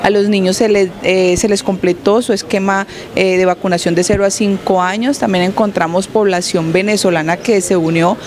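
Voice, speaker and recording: A woman's voice: medium pitch, fairly even intonation, moderate pace, hardly any pauses, darkish tone. Young woman, hospital coordinator. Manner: informative, with hesitant fillers. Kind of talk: on-site news interview. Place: outdoor hospital courtyard. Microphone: handheld station mic.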